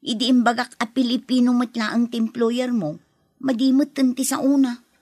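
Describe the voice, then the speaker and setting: A woman's voice: on the high side, fairly even intonation, normal speed, darkish tone, dry recording, close to an everyday voice. A woman playing a chatty friend who works abroad in a radio drama, speaking in a teasing, talkative manner. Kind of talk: Ilocano radio drama acting.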